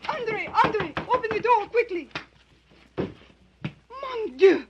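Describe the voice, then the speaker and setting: An actress playing a nervous, dutiful French nursing sister in a 1930s radio drama, deferential and breathlessly dramatic. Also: female voice, very high voice, animated, extremely slow, noisy background, heavily acted. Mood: panicked. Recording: dull, muffled vintage radio recording.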